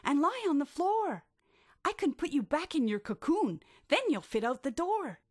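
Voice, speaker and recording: A woman's voice: sits high, very animated, moderate pace, darkish tone, no room sound, studio quality, voice pushed up. A woman voicing a caring, bossy female snail who urges her friend on emphatically in a children's storybook. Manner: emphatic, urging.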